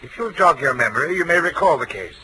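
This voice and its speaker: A man's voice: fairly high pitch, expressive, fast, natural voice. A man playing a grave, evasive asylum doctor in 1940s radio style, speaking formally and with dramatic emphasis. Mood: grave, urgent. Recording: noisy vintage radio recording.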